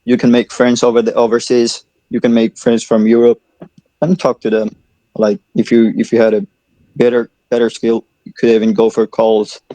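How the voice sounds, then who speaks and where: A man's voice: medium pitch, completely flat delivery, normal speed, warm tone, no echo, poor audio. A man giving casual advice on an online voice call, often repeating his words. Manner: repeats words while advising.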